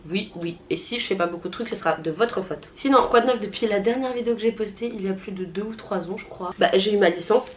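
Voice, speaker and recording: A woman's voice: mid-range voice, fast, few pauses, noisy background. A woman in her late twenties from southern France, chatting casually in a vlog with many fillers and self-mocking asides. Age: late 20s. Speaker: vlogger. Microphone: handheld camera.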